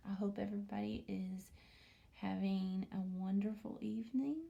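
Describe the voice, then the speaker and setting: A woman teacher reading a picture book aloud to young children, commenting and asking questions, often dropping into a hushed near-whisper. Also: medium pitch, level tone, moderate pace, vocal fry, dull audio. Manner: asks the listening children questions.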